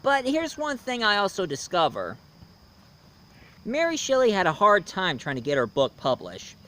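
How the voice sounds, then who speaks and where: A man hosting a casual, unscripted review, talking in a halting, rambling storyteller's way. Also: high voice, wildly swooping pitch, bright, forceful tone. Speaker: host, film and book reviewer. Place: outdoors. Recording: noisy outdoor recording with insects.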